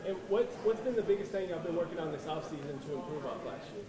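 Quick, upbeat male interviewer. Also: fairly high pitch, lively intonation. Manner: upbeat, chatty. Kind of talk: media day interview questions. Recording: echoey room with crowd noise.

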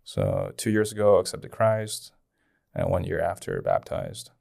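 A man's voice: deep voice, lively intonation, a little slow, gravelly, darkish tone. A man in his twenties from China with a Chinese accent, telling his story casually as a podcast guest on a close studio mic. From Dalian, China. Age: 20s. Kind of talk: casual personal testimony in an interview. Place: small indoor podcast studio. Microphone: close boom-arm studio microphone.

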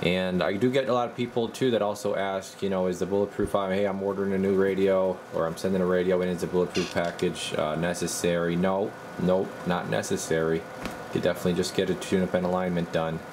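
A man with a low, gravelly voice casually talks through a hands-on repair as a technician, plain-spoken and thinking aloud. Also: flat delivery, quick pace, background noise. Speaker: radio repair technician presenting his work.

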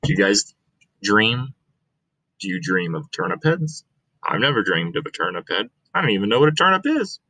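A man's voice: on the low side, big pitch swings, normal speed, bright, forceful tone, breathy edge, no echo, low-quality recording. A man in his thirties reading a picture book aloud to children, chatting to them as a teacher.

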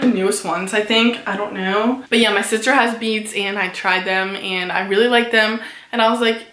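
A woman in her late teens talking casually in a haul vlog, filling her speech with "like" and sounding a little hoarse from a cold. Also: mid-range voice, fairly bright tone, echoey room, slight background noise, low-quality recording. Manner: chatty, many "like" fillers, upbeat about purchases. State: recovering from a cold, somewhat hoarse. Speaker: vlogger.

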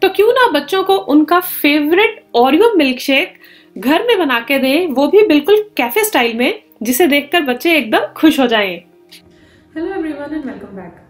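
A woman in her thirties hosting a home cooking channel, explaining a recipe step by step in a clear instructional voice. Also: high voice, animated, a little slow, faint music behind. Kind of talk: recipe tutorial narration. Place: home kitchen, indoors.

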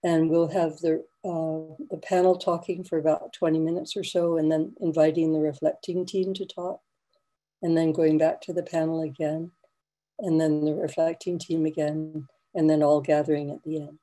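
A woman hosting a video-call town hall, speaking in a soft, unhurried way and humming acknowledgments. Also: low-pitched, level tone. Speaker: host.

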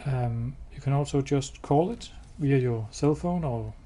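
A man giving a hands-on product demo indoors, explaining step by step in a halting, matter-of-fact way. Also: medium pitch, dark tone. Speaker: product demonstrator. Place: indoors, showroom with wall-mounted devices. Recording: clear background noise.

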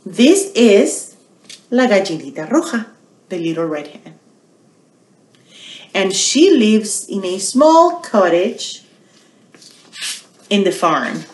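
A middle-aged woman hosting a storytime for small children, teaching bilingually and repeating phrases in a deliberate, questioning way. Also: mid-range voice, echoey room, slight background noise. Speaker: children's storytime host at a public library. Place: small quiet indoor room.

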